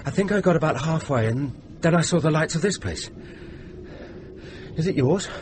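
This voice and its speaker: Actor as an amiable stranded motorist, noisy recording. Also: male voice, on the high side, expressive, moderate pace, lightly acted. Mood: relieved, chatty. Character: stranded motorist, shaken but amiable man. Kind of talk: radio drama dialogue. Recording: noisy radio drama recording.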